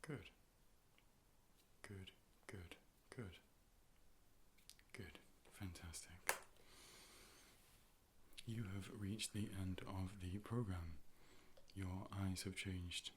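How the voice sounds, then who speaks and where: A man playing a calm, polite robot A.I. optician in an ASMR role play, speaking in whispers and soft, measured, clinical tones into a close microphone. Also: deep voice, a little hiss or hum. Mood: calm, reassuring, then formal, polite. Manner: measured, formal, procedural, soothing. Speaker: ASMR performer playing an eye doctor. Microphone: close-up ear-to-ear 3D microphone.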